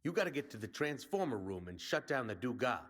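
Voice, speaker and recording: A man's voice: medium pitch, sing-song, brisk, a bit bright. An actor playing a brash male resistance commander in a video game, rallying and plain-spoken like a soldier. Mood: confident briefing.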